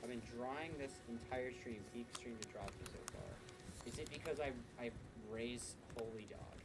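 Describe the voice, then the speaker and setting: A man's voice: medium pitch, normal speed, over loud music, poor audio. A man streaming a video game and chatting casually to viewers, rambling and correcting himself as he plans his route. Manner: rambling, self-correcting, jokey. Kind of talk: casual gameplay commentary.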